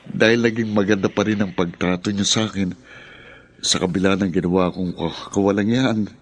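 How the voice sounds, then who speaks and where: An actor playing a proud, wounded younger son in a Filipino radio drama, with emotional delivery and a Filipino accent. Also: male voice, sits low, steady tone, moderate pace, a little hiss or hum, natural voice. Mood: humbled, grateful. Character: proud, wounded younger son, bitter and self-reproaching, later humbled.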